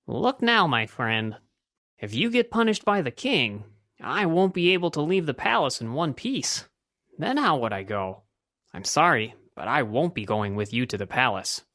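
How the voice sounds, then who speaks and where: Woman voicing a low, animated storybook male friend. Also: normal speed, exaggerated voice. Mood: apologetic refusal. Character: young man's male friends, frank and plain-spoken.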